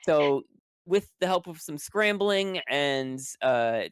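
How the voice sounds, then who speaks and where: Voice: medium pitch, animated, slow pace, clean tone, no echo. Casual, sweary storyteller with a dry, close sound. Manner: swears freely, wry and colloquial. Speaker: tabletop role-playing game player. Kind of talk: casual, rambling spoken story recap.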